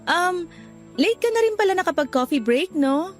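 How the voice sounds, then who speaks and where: Soft-mannered young Filipina girlfriend, very high voice, radio drama, light music behind. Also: animated, normal speed. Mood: shy, friendly. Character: quiet, anxious young woman office worker, gentle girlfriend.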